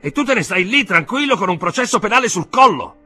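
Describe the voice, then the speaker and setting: Guy doing an agitated bossy old uncle in an audiobook, raised voice, high n all over the place, really indignant. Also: lively intonation, fast, faint music behind. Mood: alarmed, outraged. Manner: shouting, exclamatory, insistent. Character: agitated, domineering older uncle, loud and indignant.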